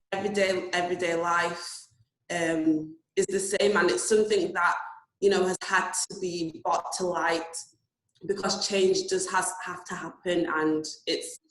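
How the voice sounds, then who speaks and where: A woman from Zimbabwe speaks earnestly and fluently in a slightly low voice. Her words sound in a roomy, echoing space. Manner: earnest, fluent, with "you know" fillers. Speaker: interviewee. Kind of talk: casual spoken reflection.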